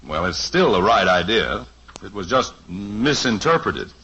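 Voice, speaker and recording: Middle-aged radio sitcom father, husky, bright edge, swooping intonation, dry teasing, muffled old recording. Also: lowish voice, sing-song, a little slow, exaggerated voice. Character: patient, wry middle-aged father of the family. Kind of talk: 1950s radio sitcom acting. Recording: dull lo-fi old radio transcription.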